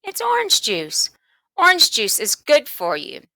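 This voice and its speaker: A woman's voice: high voice, huge pitch swings, unhurried, vocal fry, pushed and bright, dry recording, voice pushed up, heavily acted. A woman voicing a bossy, indignant schoolgirl in a storybook, talking in an insistent, emphatic way. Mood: firm. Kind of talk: children's storybook read-aloud.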